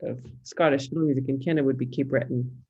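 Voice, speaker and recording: A woman's voice: a bass voice, steady tone, fast, breathy edge, narrow, tinny audio. A woman with a Californian American accent, talking casually as an interview guest and thinking aloud with some hesitation. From California, United States. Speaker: interview guest, musicologist and fiddler.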